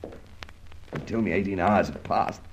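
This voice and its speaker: An actor playing a weary, gruff but good-humoured police inspector in an old-time radio drama, with dry, formal wording. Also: male voice, low voice, slow pace, slight background noise. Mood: groggy. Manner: dry, wry, formally worded. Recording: dull, muffled old radio recording.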